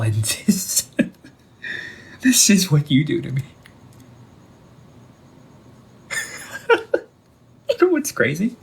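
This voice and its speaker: Undead older brother, played by a man, audio drama: vengeful, wounded, mockingly loving, theatrical; unhurried delivery with big pitch swings. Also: breathy edge, exaggerated voice. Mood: bitter, furious. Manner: theatrical, taunting, with drawn-out pauses and whispered words. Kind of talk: scripted audio roleplay monologue addressed to the listener.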